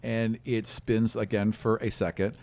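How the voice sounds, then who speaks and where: A man's voice: fairly low pitch, little pitch movement, a little slow, darkish tone. A man improvising narration as game master of a tabletop fantasy game, descriptive and conversational, often searching for words.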